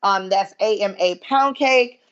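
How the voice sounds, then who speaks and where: A woman's voice: medium pitch, unhurried. Southern woman with a muffled sound. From American South. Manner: chatty, many fillers, proud. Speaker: guest, body image coach. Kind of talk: remote podcast interview.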